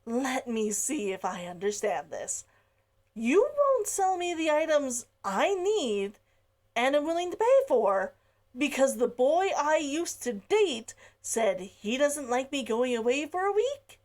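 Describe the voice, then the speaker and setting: Woman reads proud indignant young woman, sharp and cutting, high lively voice. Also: takes it slowly, exaggerated voice.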